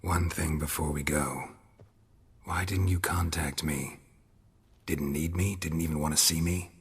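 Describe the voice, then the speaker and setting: An actor playing a gruff, world-weary monster hunter in a video game, terse and deadpan in his sarcasm. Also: measured pace, full character voice. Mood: subdued, hurt. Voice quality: gravelly, husky low voice. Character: gruff, world-weary male monster hunter, middle-aged, dry and sardonic.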